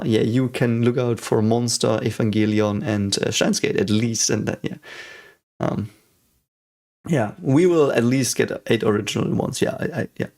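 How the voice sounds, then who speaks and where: Gravelly German-accented man chatting on stream. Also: mid-range voice, darkish tone. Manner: rambling, many fillers and restarts. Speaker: streamer answering chat. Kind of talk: casual live-stream chat.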